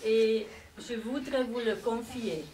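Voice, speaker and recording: Halting, emotional woman, slow. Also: on the high side, fairly even intonation, darkish tone. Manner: hesitant, searching for words in a second language. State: moved, emotional. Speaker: family member giving a eulogy. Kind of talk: halting memorial speech. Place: community hall. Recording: distant mic, faint background noise.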